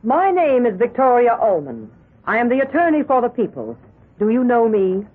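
An actress playing a formal American prosecutor in a radio drama, putting precise questions. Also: female voice, a little high, very animated, moderate pace, slightly rough. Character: formal, brisk female prosecutor questioning in court. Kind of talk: 1970s radio drama acting. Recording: muffled old radio broadcast.

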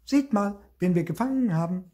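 An older man voicing a cheerful, cocky little bird in a storybook reading, boasting in a high voice. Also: lively intonation, moderate pace. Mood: gleeful. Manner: bright boastful chirping.

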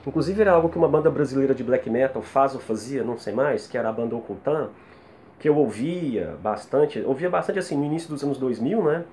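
A man's voice: medium pitch, fast, warm tone. Brazilian man chatting over noticeable room noise. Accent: Brazilian Portuguese. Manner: conversational, frequent tag questions. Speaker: music reviewer, video host. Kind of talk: casual review talk to camera. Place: small plain room indoors. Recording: noisy home room ambience.

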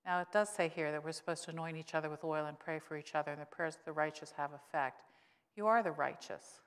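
A woman's voice: fast, clean tone. A woman in her sixties, a pastor, preaches a conversational sermon with wry humor from a church pulpit microphone. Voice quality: voice grown lower since illness, she says. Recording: long reverb of a church sanctuary.

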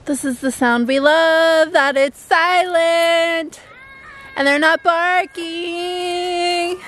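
A woman's voice: very high voice, steady tone, drawn-out words, fairly bright tone, a little hiss or hum. A young adult woman chatting casually and affectionately to a handheld camera, in an informal vlog style. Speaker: vlogger and mother. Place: car, kitchen and backyard at home. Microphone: handheld vlog camera at arm's length.

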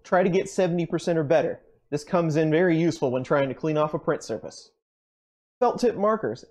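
A young man hosting a channel, briskly running through a list of tools in a scripted, upbeat way with dry jokes, recorded in a basement workshop. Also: on the high side, darkish tone. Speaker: channel host. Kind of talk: scripted tool rundown.

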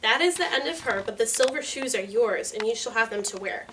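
A group of small, serious Munchkin men, read aloud by a woman in a fairly high voice with plain delivery. The mic sits a bit distant, with a faint hiss behind. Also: animated, fairly quick, a bit bright. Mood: solemn.

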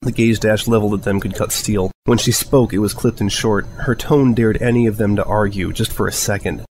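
A man narrates fiction in a fairly low voice. He reads as a storyteller from a script. Some background noise sits behind him. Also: fairly even intonation, a little fast, a little mellow, poor audio.

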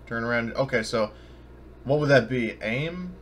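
A man's voice: mid-range voice, a little slow. Casual man thinking aloud mid-game. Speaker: player in a tabletop game. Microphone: online voice chat. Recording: background noise on voice chat.

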